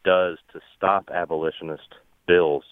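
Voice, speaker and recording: Low, even-voiced man on phone line. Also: measured pace, dry recording. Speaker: phone-in caller. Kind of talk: casual radio call-in remarks. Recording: tinny narrow-band phone line.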